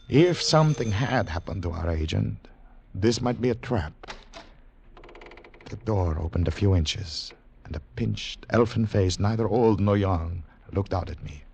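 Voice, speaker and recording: An actor playing a weary, guarded agent on the run, in 1940s radio thriller style. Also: male voice, low voice, expressive, moderate pace, creaky, a little mellow, dull audio. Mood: brooding narration. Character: weary, wary male foreign confidential agent, on the run. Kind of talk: 1940s radio acting, first-person thriller narration. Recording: noisy old 1940s radio broadcast.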